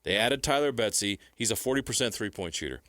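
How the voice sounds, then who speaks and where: A man's voice: fairly low pitch, lively intonation, fast, bright, forceful tone, no echo, studio quality. An American man co-hosting sports talk, giving analysis emphatically in short, repeated phrases. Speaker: sports radio co-host.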